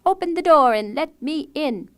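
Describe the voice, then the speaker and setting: A woman voicing a tiny bearded male dwarf, chanting his rhyme slowly and loudly at a raised pitch. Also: animated.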